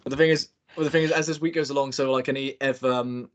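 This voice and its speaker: A man's voice: medium pitch, steady tone, quick pace, lo-fi sound. Rambling young man on a call. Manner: rambling, repeats himself, many fillers. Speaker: director running a table read. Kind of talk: casual chat.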